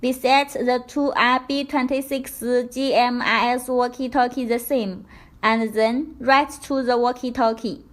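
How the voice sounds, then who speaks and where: A woman presenting a product tutorial, reading scripted instructions in a careful, deliberate step-by-step way. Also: on the high side, steady tone.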